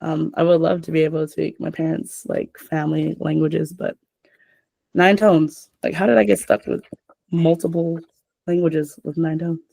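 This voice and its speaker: A woman's voice: low-pitched, flat delivery, moderate pace, creaky, mellow, dry recording. A young adult woman hosting a casual chat, rambling with lots of filler words.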